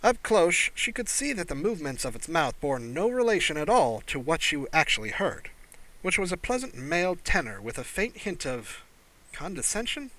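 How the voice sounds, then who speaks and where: A man narrating a science fiction audiobook in an expressive, scripted reading style. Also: a little high, normal speed, rough recording.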